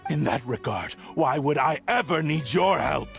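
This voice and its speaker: A gravelly, arrogant cursed blade. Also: male voice, a little high, expressive, normal speed, faint music behind. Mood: menacing. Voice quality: gravelly, menacing. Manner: haughty, declaiming threats, swells into shouts. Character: arrogant cursed blade possessing a man's body. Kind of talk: game voice acting. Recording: game soundtrack under voice.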